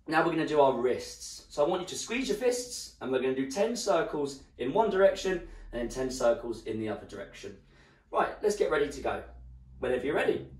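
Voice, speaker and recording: A young man with a croaky voice, leading an online home workout as a fitness instructor and giving encouraging instructions while he moves. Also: mid-range voice. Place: living room at home. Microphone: far camera. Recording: roomy sound from far off.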